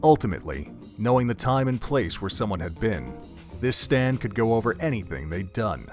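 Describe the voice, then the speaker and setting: Male story narrator, fairly low voice, measured and literary, music bed. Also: sing-song. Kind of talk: scripted story narration for an audio drama. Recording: background music under the voice.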